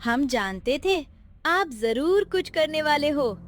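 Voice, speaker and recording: A woman's voice: high voice, expressive, slow pace. A woman playing a cheeky village child in a children's cartoon story, with teasing, childlike delivery. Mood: knowing. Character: cheeky village child helping the grown-ups.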